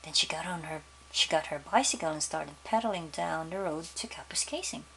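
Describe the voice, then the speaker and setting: A woman storyteller reading a children's story aloud, pausing to ask her young listeners questions. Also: low voice, animated, creaky, pushed and bright, slight background noise, low-quality recording.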